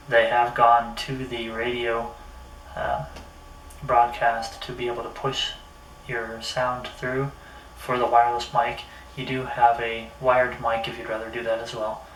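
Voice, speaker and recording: A man talks through a casual hands-on review in a middling pitch. His delivery is halting, as if thinking aloud. He sounds set back from the mic in a roomy, echoey space. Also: fairly bright tone. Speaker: product reviewer. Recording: roomy echo, distant mic, faint noise.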